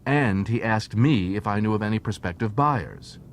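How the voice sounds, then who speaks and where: A man's voice: normal speed, lightly acted. A man voicing a wily, flippant female con artist with a breezy, teasing, evasive manner in an audiobook. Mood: sly, flippant. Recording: noisy track with low rumble.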